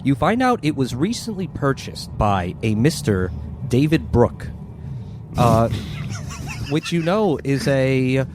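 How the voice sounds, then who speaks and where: A man's voice: medium pitch, sing-song, slow pace, noisy background. A man narrating a tabletop horror game as the game master, with music behind him.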